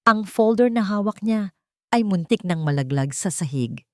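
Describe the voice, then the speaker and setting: A woman's voice: medium pitch, lively intonation, moderate pace, a little mellow, dry recording, clean studio sound. A woman reading scripted, dramatic narration for a romantic audio drama.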